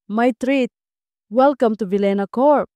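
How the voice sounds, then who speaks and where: A woman voicing a friendly, helpful young male team leader in an audio drama, warm and encouraging in a casual way. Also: a little high, unhurried, no room sound, dull audio, natural voice. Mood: helpful, inviting.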